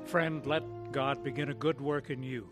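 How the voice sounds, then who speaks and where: A man's voice: medium pitch, normal speed, loud music behind the voice. A man with an American accent giving a scripted evangelistic appeal and prayer as a program announcer, earnest and solemn.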